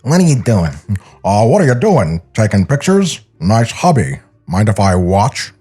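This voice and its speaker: An excitable young animator from a script reading, played by a man, pitching with emphasis. The voice is husky and swings around in pitch, with music behind. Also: a little slow, heavily acted. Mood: insistent.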